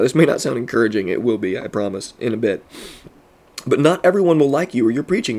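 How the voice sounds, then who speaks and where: A man's voice: medium pitch, darkish tone. A man with a mid-range voice, stuffed up and congested, talking to fellow pastors in an earnest, preacherly way. He leans into his key points as a podcast host giving devotional teaching.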